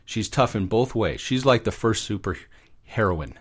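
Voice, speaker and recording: A man's voice: on the low side, expressive, moderate pace, a crowd in the background. A man speaking as a guest expert, explaining fairy tales in a conversational way with little acted asides. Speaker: guest expert, psychology professor.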